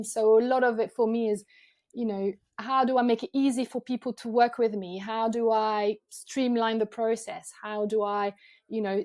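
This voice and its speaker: A woman from France speaks English with a French accent in a relaxed podcast chat. Her voice sits fairly high with a warm, mellow tone. She talks in a friendly, meandering way, full of ums and restarts. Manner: chatty, many ums and restarts. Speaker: guest photographer. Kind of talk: casual podcast interview.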